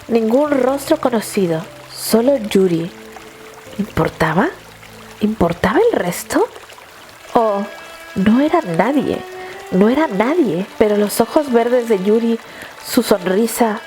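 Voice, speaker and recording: A woman narrates dramatic fiction in a measured, literary way. Her pitch sits in the middle range for a woman, and music plays faintly behind her. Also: darkish tone. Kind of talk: scripted dramatic story narration.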